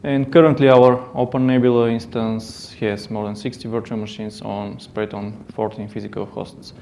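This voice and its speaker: A man's voice: fairly low pitch, level tone, normal speed, vocal fry, dark tone. A man with a Bulgarian accent giving a technical conference talk, hesitant, with many fillers and restarts. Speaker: conference speaker. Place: conference hall.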